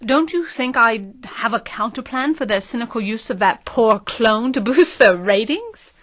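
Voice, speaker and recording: An actress playing a scheming, confident, scornful marketing consultant in an audio drama, emphatic and condescending. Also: female voice, fairly high pitch, lively intonation, unhurried, dry recording.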